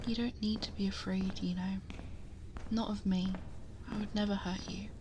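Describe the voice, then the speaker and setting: A woman playing a possessive, protective dragon girl in an intimate ASMR roleplay, speaking softly and breathily, often whispering, close to the microphone in a measured murmur. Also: medium pitch, noisy background, natural voice. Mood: protective, guiding. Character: possessive female dragon girl, protective, commanding yet tender. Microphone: close binaural ASMR microphone.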